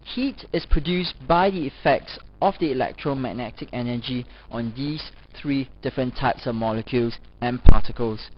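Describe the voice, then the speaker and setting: A man reading scripted educational narration as a lecturer, in a formal, measured manner. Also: medium pitch, slight background noise. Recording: dull, lo-fi voice-over recording.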